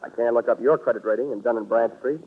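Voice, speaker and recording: An American actor playing a wisecracking, sardonic hard-boiled insurance investigator in a 1940s radio drama. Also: male voice, mid-range voice, fast, a little hiss or hum, natural voice. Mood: sardonic, wary. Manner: dry, wisecracking. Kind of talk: 1940s radio drama acting, narrating and dialogue. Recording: tinny band-limited old radio broadcast.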